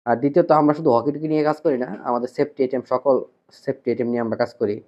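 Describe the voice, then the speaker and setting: A man's voice: mid-range voice, steady tone, fast, mellow, highs cut off. A man with a Bangladeshi accent explains things to customers as a company representative, conversational and repetitive, mixing in English words. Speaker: shop representative presenting to customers. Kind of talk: informal explainer to camera.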